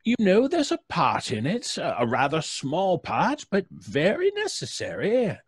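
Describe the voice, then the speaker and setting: A pompous, wildly swooping stage star. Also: male voice, fairly high pitch, wildly swooping pitch, unhurried, husky, no echo, clean studio sound, full character voice. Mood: boastful, chummy. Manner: effusive, chuckling, grandiose. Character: hearty, pompous, self-satisfied theatrical actor. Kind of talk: old-time radio suspense acting.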